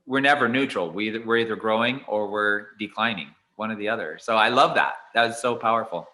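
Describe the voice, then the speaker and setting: A man hosting an online spirituality conference, effusive and halting with many fillers. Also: fairly low pitch, pushed and bright, poor audio. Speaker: event host.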